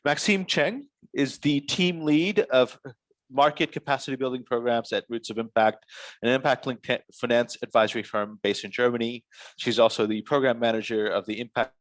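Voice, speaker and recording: A man's voice: mid-range voice, fairly even intonation, normal speed, no echo. A man moderating an interview, introducing the guest and asking questions with many uhs and you-knows. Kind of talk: online panel moderation.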